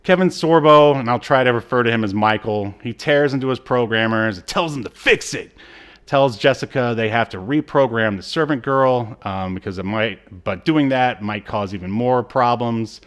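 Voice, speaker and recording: A man co-hosting a podcast, recapping in a casual, halting, conversational way that trails off. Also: mid-range voice. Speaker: podcast co-host. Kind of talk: casual chat, recapping a TV episode.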